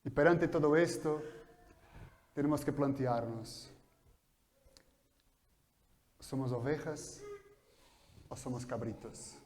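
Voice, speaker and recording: A man preaching a sermon in a church, his coming through his Spanish, swinging between quiet explanation and loud, fervent passages. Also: mid-range voice, unhurried, slight background noise. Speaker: pastor. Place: church hall.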